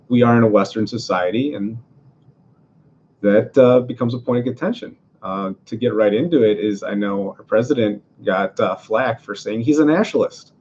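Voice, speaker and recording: A man's voice: lowish voice, darkish tone, highs cut off, a little hiss or hum. A man from Venezuela talking casually about politics as a podcast host, rambling through many fillers and restarts in his apartment.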